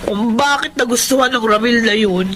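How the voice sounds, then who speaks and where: A catty, meddling Filipino woman, played by an actress in a radio drama. Her high voice is blunt and teasing, over faint noise. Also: normal speed, fairly bright tone, natural voice. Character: catty, meddling female friend of the wife.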